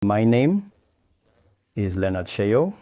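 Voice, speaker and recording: Deep, mellow man explaining. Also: sing-song, no room sound. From Tanzania. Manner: halting, with pauses and restarts. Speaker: engineer. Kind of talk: explaining his work to camera.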